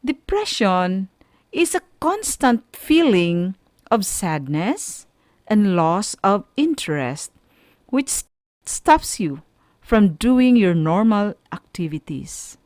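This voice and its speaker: A woman with a Filipino accent teaching students on an educational radio program, speaking emphatically and deliberately in short, separated phrases. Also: mid-range voice, sing-song, a little mellow, no echo, studio quality. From Philippines. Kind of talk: educational radio lecture, addressing students directly.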